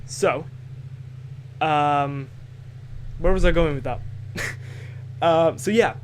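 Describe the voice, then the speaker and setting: Hesitant teen lad, light Scottish accent. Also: male voice, a little high, slow, a little hiss or hum. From Scotland. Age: teens. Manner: hesitant, lots of fillers and restarts. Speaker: podcast host. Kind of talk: casual rambling monologue. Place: at home.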